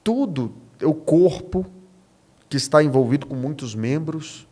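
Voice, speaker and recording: A man's voice: on the high side, lively intonation, unhurried, warm tone. A Brazilian man speaking as a pastor and podcast guest, earnest and emphatic, mixing conversational preaching with anecdotes and rhetorical questions. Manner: earnest, emphatic, punctuates points with rhetorical questions and tag checks.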